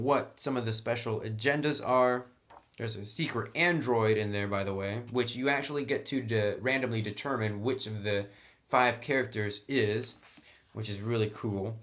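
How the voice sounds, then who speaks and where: A man's voice: medium pitch, a bit bright. A man in a chatty, enthusiastic style, reviewing a tabletop roleplaying game. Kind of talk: casual review talk.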